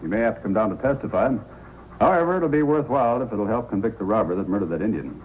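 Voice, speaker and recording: An actor playing a brave, dutiful police sergeant in an old-time radio adventure, speaking with firm, declamatory authority. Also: male voice, fairly low pitch, lively intonation, fast, background noise, natural voice. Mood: courteous. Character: brave, dutiful Mountie sergeant, commanding and upright. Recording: old radio recording with music.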